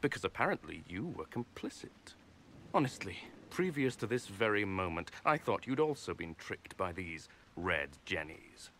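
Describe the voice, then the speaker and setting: A scheming aristocrat, smug and patronizing. His low voice swings through animated, pompous phrasing, over a faint bed of ambient noise. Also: moderate pace, heavily acted. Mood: condescending, persuasive. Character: smug, condescending male nobleman villain, smooth schemer. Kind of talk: video game character acting.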